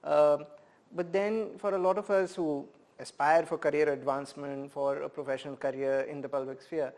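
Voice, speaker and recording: A man from India, a poet and professor, speaking as an interview guest in a thoughtful, measured way, often pausing with "uh" as he gathers his thoughts. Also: fairly high pitch, fairly even intonation, a little mellow. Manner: thoughtful, measured, many "uh" pauses. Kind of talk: reflective conversational interview.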